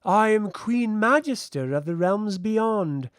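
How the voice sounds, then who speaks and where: Fantasy audiobook queen, performed by a male reader: tall, regal, icy and scornful, raised animated voice, close dry sound. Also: a little high, a little slow, no echo. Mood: icy, imperious. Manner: imperious, cold, mocking. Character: haughty, frosty, very tall otherworldly fairy queen.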